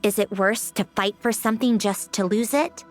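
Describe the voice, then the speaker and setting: An actress playing a weary, sardonic female inventor in a video game, speaking slowly with a dry, sighing delivery. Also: medium pitch, lightly acted. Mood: wistful. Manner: dry, sighing, slow and reflective. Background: soft game music.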